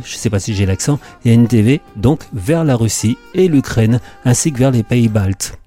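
Male columnist, medium pitch, mellow tone, scripted delivery broken by informal, opinionated remarks. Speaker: radio media columnist. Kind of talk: scripted media chronicle with casual asides.

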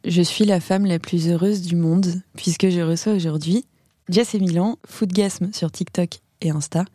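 A woman's voice: lowish voice, fairly even intonation, normal speed, mellow, no echo, studio quality. A woman hosting a podcast interview, bubbly and informal, cursing casually as she chats with her guests. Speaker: podcast host.

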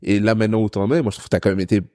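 A male podcast host keeps the interview loose and friendly, and he backs his guest up with quick agreements. His voice is dark and mellow. The sound is dry, with no room around it. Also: lowish voice, fairly even intonation, no echo. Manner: frequent short backchannel replies, chatty questions. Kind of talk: casual interview.

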